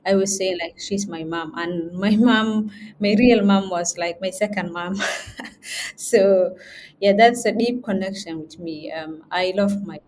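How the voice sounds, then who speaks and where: A young woman, a guest in an interview, talking in a halting way with many fillers and restarts. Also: medium pitch, a little mellow, slight background noise. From Somali region. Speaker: guest, chief editor. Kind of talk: interview conversation.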